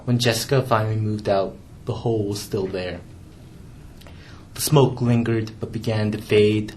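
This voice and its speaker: A young man reading aloud from his own memoir, in a measured, restrained way with the odd stumble. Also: fairly low pitch, slight background noise. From Canada.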